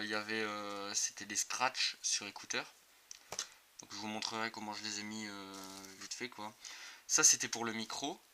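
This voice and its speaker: A man giving a casual, improvised and somewhat hesitant hands-on product demonstration for a video. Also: medium pitch. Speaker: motorcycle gear video reviewer. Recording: clearly noisy amateur video recording.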